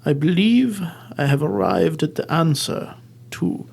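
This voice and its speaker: Brief: man playing a theatrical detective, slow and accusing, fairly high voice. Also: wildly swooping pitch, vocal fry, a little mellow, breathy edge, exaggerated voice. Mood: grandiose. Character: pompous male detective giving a reveal monologue. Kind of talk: improvised comedy podcast sketch.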